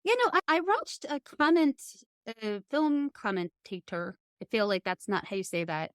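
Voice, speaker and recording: A woman's voice: medium pitch, expressive, normal speed, dry recording, studio quality. A woman hosting a podcast, chatting casually as she interviews a guest.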